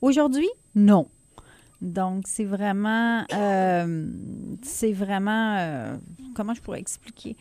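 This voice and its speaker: A woman's voice: medium pitch, takes it slowly, a little mellow, dry recording. Hesitant, laughing Québécois woman guest. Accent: slight Québécois French with a light foreign tinge. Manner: hesitant, laughs often, many fillers. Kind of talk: casual radio interview. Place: radio studio.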